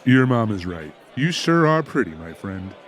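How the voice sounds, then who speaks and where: Cautious folksy sidekick, played by a man, lowish voice, dry wit, comic audiobook. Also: very animated, normal speed, some chatter behind. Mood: humouring. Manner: colourful folksy phrasing, dryly humouring. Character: wry, cautious, folksy buddy of a reckless friend.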